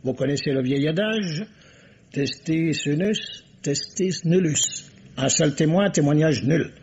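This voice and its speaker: An actor playing a shrewd, courteous, self-assured police commissioner in a formal, theatrical radio mystery style. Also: male voice, a little high, takes it slowly, darkish tone, slight background noise. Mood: solemn, accusing. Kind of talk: vintage French radio mystery acting. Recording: dull, muffled old radio recording.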